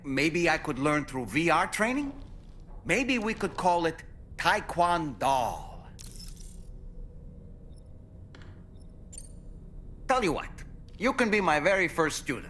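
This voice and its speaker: An actor playing an earnest, curious and sensitive companion who talks politely and inquisitively in a cinematic video game. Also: male voice, on the high side, big pitch swings, measured pace, fairly bright tone, a little hiss or hum. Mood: playful, eager.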